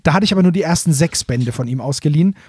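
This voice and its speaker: A man's voice: a little high, expressive, rapid-fire, darkish tone, slightly rough, no echo, studio quality. A man co-hosting a casual fan talk, explaining things in an informal, colloquial way. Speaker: podcast co-host.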